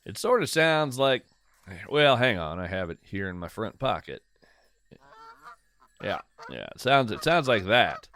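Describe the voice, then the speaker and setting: Slow, expressive man musing in dry sound. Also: lowish voice, dry recording. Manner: musing, folksy, gently whimsical. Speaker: podcast host and narrator. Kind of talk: in-character whimsical fiction monologue, conversational storytelling.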